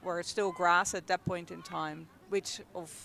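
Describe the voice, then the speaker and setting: Dutch-accented young woman, gravelly and bright, chatty guest commentator. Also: on the low side, fairly even intonation, measured pace, slight background noise. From Netherlands. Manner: chatty, storytelling, frequent ums and laughs. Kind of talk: casual sports commentary. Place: outdoors at a field.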